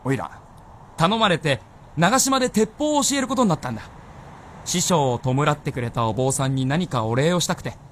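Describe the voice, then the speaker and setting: A man's voice: a little high, big pitch swings, normal speed, slight background noise. A man playing a hot-headed, earnest young gunner with a fervent, boyish manner in a Japanese radio drama. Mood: determined.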